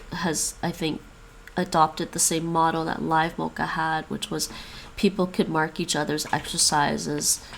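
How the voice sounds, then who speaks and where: A woman's voice: lowish voice, vocal fry, a little hiss or hum. A woman who grew up with English and Chinese, chatting casually and thinking aloud as she practices languages on a stream. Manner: thinks aloud, repeats foreign phrases. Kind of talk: casual chat while studying languages.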